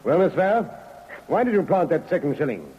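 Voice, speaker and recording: An actor playing a brisk, commanding police inspector in an old radio crime drama. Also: male voice, on the high side, sing-song. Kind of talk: 1950s radio acting. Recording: muffled, faintly noisy old radio recording.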